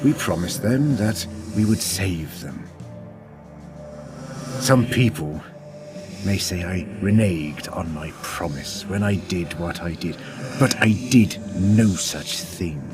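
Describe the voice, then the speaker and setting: An actor playing a ruthless, grandiose scientist who resents being called a traitor, speaking slowly and with menace in an audio drama. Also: male voice, low voice, expressive. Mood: menacing. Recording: music behind the voice.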